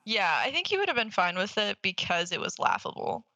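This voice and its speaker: A woman's voice: mid-range voice, sing-song, fairly quick, sharp tone, no echo. A young woman co-hosting a casual movie podcast, blunt and sweary, given to hyperbole. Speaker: podcast host.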